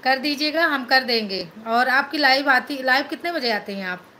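A middle-aged woman from Madhya Pradesh chats through her phone on a live stream, greeting viewers and thanking them over and over. Her voice is bright and sits a little high, with a faint hiss of room noise under it. She is recorded in a small indoor room. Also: lively intonation, moderate pace. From Madhya Pradesh, India. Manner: chatty, thanks viewers over and over, mixes English into Hindi. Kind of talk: casual live-stream chat, reading and answering comments. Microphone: phone held at arm's length.

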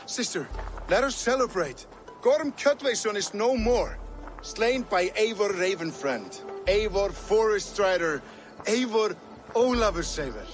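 A man's voice: high voice, animated, a little slow, over music, rough recording. A man playing a jubilant, boastful Norse raider in a game, theatrical and grandiose in his delivery. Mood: celebratory. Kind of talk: dramatic game cutscene acting.

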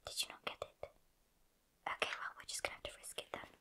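A young British woman playing a sassy, scatty witch in an ASMR roleplay, chatting and teasing in a soft breathy whisper close to the microphone. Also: quick pace. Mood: chatty, reassuring. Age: young adult. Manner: chatty, teasing, gently bossy. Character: sassy, scatty witch casting sleep spells. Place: small indoor candlelit set.

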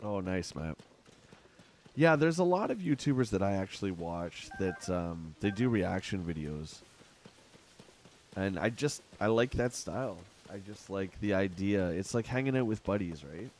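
A man's voice: sits low, animated, unhurried, darkish tone, a little hiss or hum. A middle-aged man with a Canadian accent, chatting casually with his chat while playing a game on a live stream at home. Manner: rambling, chatty, answers chat.

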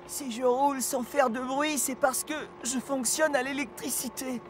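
An actor voicing an earnest, excitable, childlike male electric engine in a children's cartoon dub. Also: high voice, moderate pace, a little hiss or hum, lightly acted. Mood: wistful.

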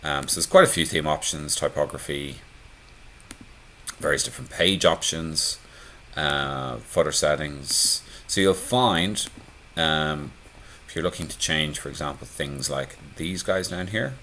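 Male tutorial presenter, extremely low voice, laid-back conversational delivery, voice-over screencast. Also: a little slow, a little hiss or hum. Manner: relaxed, conversational, many fillers. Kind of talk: screencast walkthrough.

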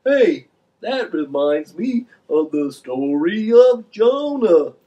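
A man's voice: sits high, sing-song, takes it slowly, dark tone, lo-fi sound, heavily acted. A man voicing a curious, simple talking fish puppet with a drawling manner for a children's puppet show. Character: slow, mellow, curious talking fish puppet named Fred.

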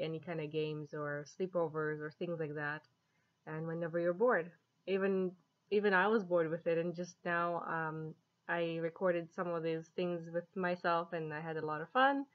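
A woman in her twenties talks casually to camera as she shows off an app, amused and giggly. Her voice sits a little low for a woman, and the sound is dull and lo-fi, like a webcam in a living room. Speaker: app reviewer. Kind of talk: casual vlog chat to camera. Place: small home living room, indoors.

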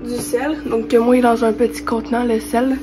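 High-voiced young Quebec woman with a cold. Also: little pitch movement, fairly quick, music in the background, poor audio. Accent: Quebec French. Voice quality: congested from a cold. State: has a cold. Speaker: vlogger. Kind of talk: casual cooking tutorial to camera. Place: home kitchen. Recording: clear background noise.

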